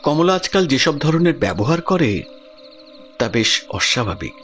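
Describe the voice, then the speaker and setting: An actor playing a worried village man in an audio drama, earnest and expressive as he talks. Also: a little high, unhurried, soft background music. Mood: troubled, earnest. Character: worried village man recounting his cousin's frightening affliction.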